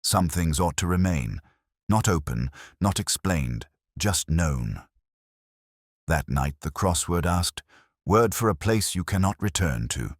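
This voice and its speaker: A man playing a brooding, world-weary police detective, narrating a case in solemn, literary first person with a husky, measured delivery. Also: deep voice, lively intonation, no echo, clean studio sound, heavily acted. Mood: melancholy, resigned.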